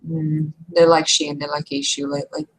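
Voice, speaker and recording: A woman's voice: low-pitched, flat delivery, brisk, voice pushed down, poor audio. A woman playing a blunt, curious, gossipy fantasy woman in a tabletop game, talking in a breezy, teasing way. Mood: impressed, curious.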